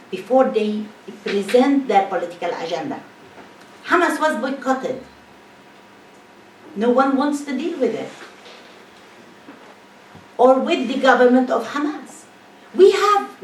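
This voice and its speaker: A woman from northern Israel, speaking emphatically and asking rhetorical questions in a public talk. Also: mid-range voice. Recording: echoey room, mic at a distance, faint hiss.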